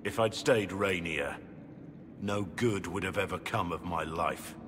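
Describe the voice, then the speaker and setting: An actor playing a gruff, guilt-ridden soldier in a video game, speaking gravely and deliberately with bitterness. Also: male voice, deep voice. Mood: grave remorse. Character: guilt-ridden gruff soldier, disgraced male impostor warden. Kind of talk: dramatic video game acting. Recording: noisy game capture in reverberant hall.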